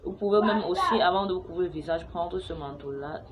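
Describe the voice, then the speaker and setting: A woman's voice: lowish voice, a little fast, muffled recording, over a noisy crowd. A young woman from Cameroon, chatting to camera at home about a home remedy, hesitant, with many fillers and restarts. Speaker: vlogger sharing a home remedy. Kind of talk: casual how-to talk to camera. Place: home, with noisy neighbours and children playing outside.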